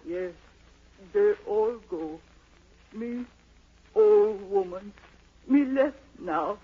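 A woman's voice: medium pitch, very animated, drawn-out words, husky, phone-quality sound, exaggerated voice. An actress playing a frightened old island woman who pleads in broken English in a 1940s radio drama. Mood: fearful, cowed.

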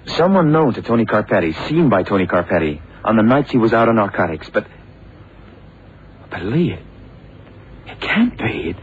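Animated, earnest young-gun detective sidekick. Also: male voice, lowish voice, normal speed, pauses often. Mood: dawning realisation. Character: earnest, analytical male investigator sidekick. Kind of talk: radio crime drama acting. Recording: muffled, noisy vintage radio recording.

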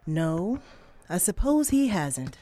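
An actress playing a defiant, plain-spoken plantation servant from the American South in a radio drama. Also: female voice, fairly low pitch, very animated, slow pace, dark tone. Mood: scornful.

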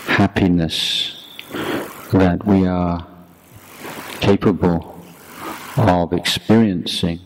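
Male meditation guide, deep and flat in delivery, deliberate short phrases, some noise on the recording. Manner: measured, deliberate, short phrases with long pauses. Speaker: meditation teacher, monk. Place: youth retreat. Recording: clearly noisy background.

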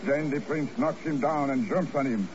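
An actor playing a jovial, effusive French casino assistant manager, a former bouncer, with a French accent in 1950s radio drama style. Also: male voice, on the high side, normal speed, background noise, lightly acted. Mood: gleeful, relishing. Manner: effusive, relishing, courteous. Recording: muffled old radio recording, crowd murmur.

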